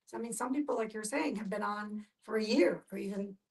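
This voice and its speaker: A woman explaining things to a discussion group in a measured, instructive way. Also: medium pitch, darkish tone. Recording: distant from the microphone.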